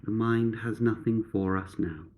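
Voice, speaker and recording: A man guiding a meditation in measured, instructive short phrases with pauses between them. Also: lowish voice, steady tone, darkish tone. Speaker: meditation guide.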